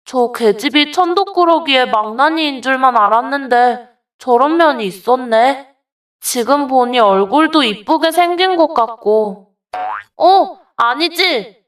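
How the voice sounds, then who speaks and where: A woman's voice: high voice, animated, measured pace, distant mic. A woman voicing a young male bonded servant in a folktale, wary and timid but given to grumbling. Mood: suspicious musing. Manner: deferential polite speech, wary. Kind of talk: dramatized folktale dialogue.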